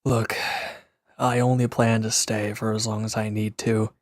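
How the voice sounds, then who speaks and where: Low, gruff, guarded ex-villain played by a man. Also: little pitch movement, unhurried, dry recording, studio quality, close to an everyday voice. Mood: guarded, stubborn. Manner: curt, grumbling, defensive, with sighs and hesitant breaks. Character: gruff, guarded male former villain, recovering and reluctantly dependent. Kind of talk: scripted roleplay audio drama addressed to the listener.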